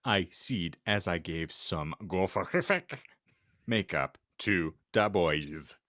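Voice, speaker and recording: Male comedy podcast host, low lively voice, theatrical and unhurried, dry close sound. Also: dry recording. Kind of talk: comedic dramatic reading of fan fiction with banter.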